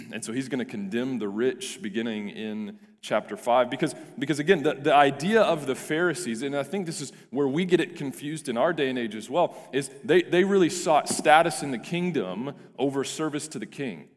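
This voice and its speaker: A male pastor with a hoarse, deep, raspy voice, praying and then preaching earnestly, often restarting and repeating words. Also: normal speed. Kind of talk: prayer and sermon. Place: church sanctuary. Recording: reverberant church hall with soft music.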